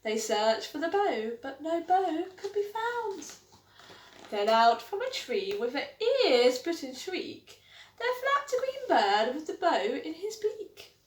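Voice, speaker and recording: A woman reading a rhyming picture book aloud for children with an animated, sing-song delivery. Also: sits high, takes it slowly. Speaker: storytime reader. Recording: echoey room.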